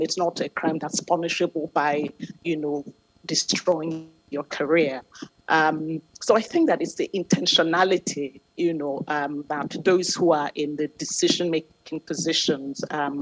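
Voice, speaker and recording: A woman makes an earnest, persuasive point on a panel. She often fills her pauses with "you know" and "um". The recording is dry, with no room sound. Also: low-pitched, slightly rough, dry recording, low-quality recording. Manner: earnest, persuasive, with many fillers. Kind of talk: panel discussion answer.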